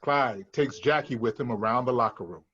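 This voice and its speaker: A man's voice: mid-range voice, normal speed, fairly bright tone, breathy edge, highs cut off. A man reading screenplay stage directions as scripted narration at a table read.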